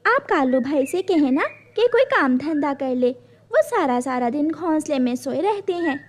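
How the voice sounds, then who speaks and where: Woman voicing a high-pitched kindly mother bird. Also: expressive, measured pace. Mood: friendly, earnest.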